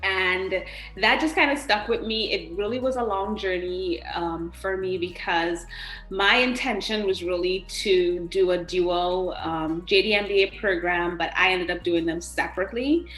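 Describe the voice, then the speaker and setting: A woman's voice: fairly low pitch, measured pace, fairly bright tone, faint music behind. A woman giving conversational interview answers as a podcast guest in a roomy, echoing space. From Trinidad.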